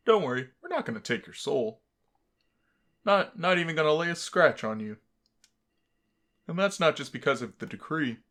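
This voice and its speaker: A man's voice: mid-range voice, fairly bright tone, lightly acted. Guy doing a chill jokey skeleton from a dating game, casual and wisecracking. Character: laid-back joking male skeleton, dating-game companion.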